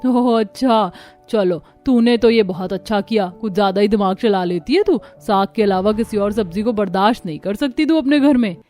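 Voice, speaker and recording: A woman's voice: medium pitch, normal speed, a little mellow, faint music behind, natural voice. A woman voicing a wry, sympathetic middle-aged neighbour in a cartoon story, chatty and teasing. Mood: friendly teasing. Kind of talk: Hindi cartoon story acting.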